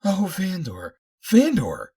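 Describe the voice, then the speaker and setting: A man's voice: on the low side, sing-song, normal speed, husky, voice pushed up. A man voicing a devoted, emotional young woman freed from captivity, speaking fervently in formal, old-fashioned phrases for an adventure story. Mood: hysterical joy.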